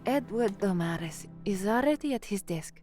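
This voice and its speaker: A woman's voice: mid-range voice, animated, moderate pace, a little mellow, faint music behind, natural voice. A woman playing an earnest, uneasy young novice newsreader in a formal, introspective audio drama. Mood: troubled, introspective.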